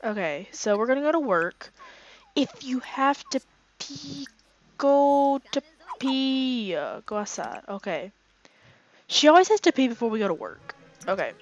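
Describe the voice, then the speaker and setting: Brief: young female game host, hoarse and raspy from a cold, rambling filler-laden commentary, slightly muffled home mic. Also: high-pitched, very animated, measured pace. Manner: rambling, filler-heavy, chatty. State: hoarse, voice fading from illness. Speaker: gaming channel host. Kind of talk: casual let's play commentary. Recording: slightly dull lo-fi home recording.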